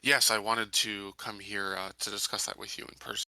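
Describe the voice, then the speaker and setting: A man playing a courteous, earnest sheriff in a tabletop roleplay, speaking in a measured, formal, somewhat hesitant way. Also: lowish voice, level tone, bright, forceful tone, rough recording. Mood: earnest, concerned. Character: courteous, earnest colony sheriff questioning a victim.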